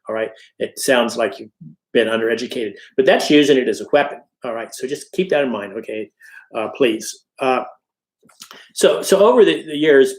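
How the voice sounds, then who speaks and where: Middle-aged male instructor, casual lecture delivery, constant check-ins with the class, echoey room. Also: medium pitch. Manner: conversational, frequent "okay?" and "all right?" check-ins. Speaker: college instructor. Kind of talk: informal recorded lecture.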